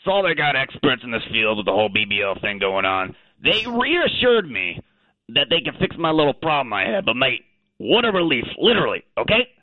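Comedy radio phone-in: man as blustery Australian talking dog, thin-skinned and slangy, very animated delivery over a tinny line. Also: medium pitch, no echo, natural voice. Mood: excited, cheeky. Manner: blustery, slangy, quick to take offense then apologize. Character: cheeky, touchy male pet dog from Australia. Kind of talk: comic character phone interview on radio.

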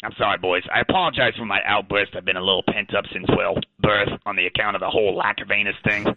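A man's voice: on the high side, huge pitch swings, a little hiss or hum. A man voicing a cheeky, touchy pet dog with an Australian accent, blustery and slangy, in a comic radio phone interview. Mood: sheepish. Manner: blustery, slangy, quick to take offense then apologize. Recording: thin phone line.

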